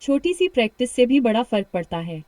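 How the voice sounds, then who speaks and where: A woman's voice: on the high side, very animated, no echo. Upbeat British woman coaching practice. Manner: warm encouragement, slow repeated practice sentences. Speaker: English speaking coach. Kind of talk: guided shadowing practice lesson.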